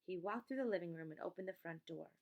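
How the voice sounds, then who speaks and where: A woman's voice: on the low side, fast. A woman reading a children's story aloud as scripted narration. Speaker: audiobook reader.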